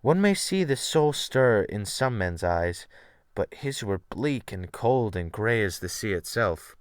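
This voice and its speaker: A man giving a theatrical, expressive first-person dramatic reading of a literary sea tale as an audiobook narrator. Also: on the low side, measured pace, clean tone, no echo, clean studio sound. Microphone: USB desktop microphone.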